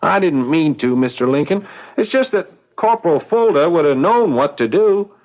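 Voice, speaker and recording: Man playing a stubborn, high-voiced young country fellow. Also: animated, normal speed, close to an everyday voice. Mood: sheepish. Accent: rural American. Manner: folksy, plain-spoken, defiant. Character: stubborn, hot-headed rustic young accused man. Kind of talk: old-time radio drama acting. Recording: dull vintage radio drama sound.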